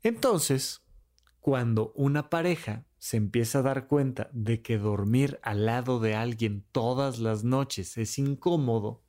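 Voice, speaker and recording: A man hosting a podcast, talking casually about relationships and acting out bickering couples in quick quoted voices. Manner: animated, swings between high and low pitch. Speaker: podcast host.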